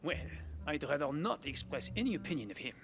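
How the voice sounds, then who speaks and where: A haughty aristocrat in a period drama game. His voice is fairly high and lively in pitch, with guarded, formal phrasing. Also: a little fast, gravelly, heavily acted. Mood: guarded, disdainful. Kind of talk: scripted video game acting.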